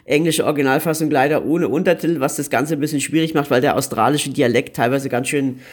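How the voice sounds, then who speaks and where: Man, podcast co-host, slightly high voice, casual review style, halting delivery with repeated words. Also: steady tone. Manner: hesitant, searching for words, repeating words. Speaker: podcast co-host recommending series.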